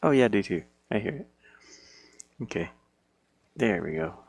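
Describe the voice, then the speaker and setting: A man's voice: lowish voice, sing-song, normal speed, gravelly, darkish tone, breathy edge. A man working as an indie game developer, thinking aloud casually and muttering to himself in short fragments.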